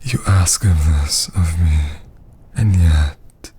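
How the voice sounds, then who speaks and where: A haughty vampire lord of an old castle, speaks in a dark, low voice. The delivery is extremely slow and theatrical, breathy and often sinking into a whisper. It is an intimate ASMR roleplay full of archaic menace. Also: male voice, full character voice. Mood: intrigued, brooding.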